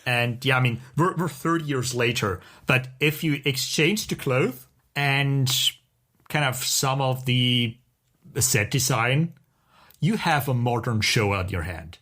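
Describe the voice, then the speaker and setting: A middle-aged man co-hosting a podcast, chatting casually and enthusiastically with a halting delivery. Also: mid-range voice, lively intonation, fairly bright tone. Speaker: podcast co-host. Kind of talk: casual chat about TV shows.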